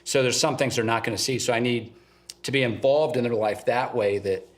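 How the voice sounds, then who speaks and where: A middle-aged man with a light Ohio drawl that leans a little Southern. He sits at a medium pitch and speaks as an interview guest, plain-spoken and earnest in a conversational way. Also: fairly quick. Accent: slight Southern-tinged eastern Ohio. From eastern Ohio, USA. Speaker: guest, father of three.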